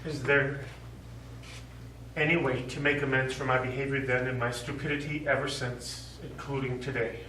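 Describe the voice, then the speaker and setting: A man's voice: medium pitch, level tone, moderate pace, pushed and bright, lightly acted. A man playing a remorseful middle-aged former soldier and old lover in a stage play, earnest, hesitant and apologetic. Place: small theatre stage, recorded from afar. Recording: echoey stage, distant mic, faint noise.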